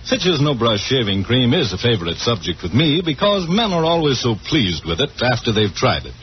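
A man delivering a scripted 1940s-style radio commercial as a pitchman. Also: big pitch swings, normal speed, a little hiss or hum. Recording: dull old radio transcription recording.

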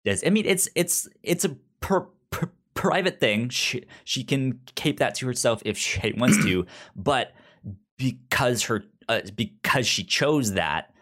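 A man's voice: medium pitch, animated, a little slow, fairly bright tone. A young man co-hosting a podcast in casual review chat, stuttering and restarting words often. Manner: heavy stutter with many repeated word starts. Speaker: podcast co-host.

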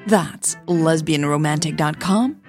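A woman narrating scripted fiction as a podcast host, in a measured, deliberate way. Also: low-pitched, over music.

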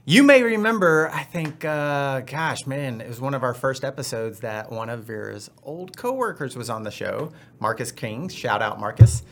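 A man's voice: medium pitch, expressive, normal speed, few pauses. A man hosting a podcast, upbeat and chatty with rambling asides. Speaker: podcast host. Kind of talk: casual podcast chat.

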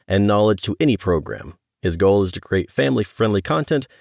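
Male podcast host, middle-aged. Low-pitched, dry close-mic sound, conversational interviewer. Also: lively intonation, a little fast, creaky, dry recording. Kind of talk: casual interview chat.

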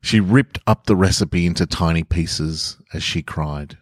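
A man's voice: low-pitched, a little slow, no room sound, studio quality. A man hosting a podcast, talking casually and reading short stories aloud as narrator. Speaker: podcast host and story reader.

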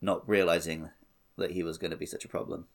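A man's voice: low-pitched, little pitch movement, brisk. A man co-hosting a podcast, chatting casually about a video game. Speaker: podcast co-host.

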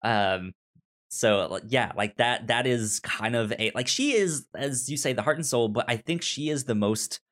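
A man's voice: medium pitch, moderate pace, a bit bright. A young man co-hosting a casual movie discussion, stammering and often repeating sounds and words as he talks. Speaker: podcast co-host.

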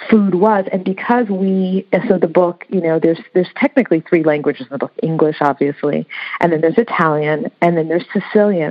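A woman author talking about her book in a reflective conversation, often repeating words as she searches for them. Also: fairly low pitch. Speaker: author being interviewed. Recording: dull lo-fi audio.

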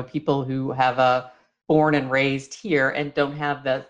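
A man hosting a casual interview, affirming what he hears and haltingly rephrasing his questions as he goes. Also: fairly high pitch. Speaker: interviewer, host.

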